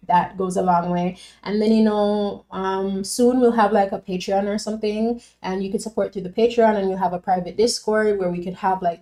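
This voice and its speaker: Mellow-voiced woman from Jamaica, chatting live. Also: medium pitch. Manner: chatty, answers viewers by name, frequent fillers. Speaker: streamer reading chat. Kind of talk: casual live-stream chat.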